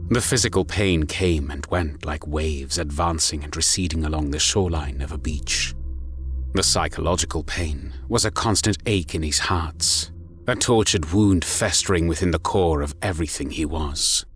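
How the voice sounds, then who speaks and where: A man giving a grave, measured, dramatic narration of a scripted story. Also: a bass voice, fairly bright tone, a little hiss or hum. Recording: music under the voice. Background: music.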